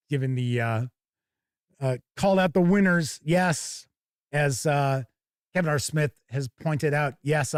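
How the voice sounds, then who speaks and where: A man's voice: on the high side, no echo, clean studio sound. A man hosting a tabletop gaming show, chatting casually and thinking aloud as he gives live first-look commentary.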